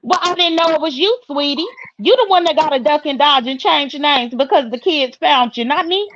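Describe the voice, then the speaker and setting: A woman's voice: high voice, normal speed, a bit bright. A woman from Mississippi with a Southern US accent, arguing casually and bluntly with plenty of swearing, as a guest on an online panel. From Mississippi, United States. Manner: blunt, profane, combative. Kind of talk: heated casual argument. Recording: dull lo-fi call audio.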